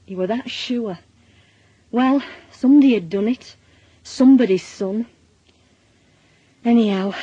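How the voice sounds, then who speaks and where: Husky, mellow Northern English mother played by an actress. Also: mid-range voice, no room sound, dull audio, natural voice. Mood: weary, reflective. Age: middle-aged. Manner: colloquial, world-weary, confiding. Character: worried, plain-speaking working-class mother and wife. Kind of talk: naturalistic radio drama, monologue and dialogue.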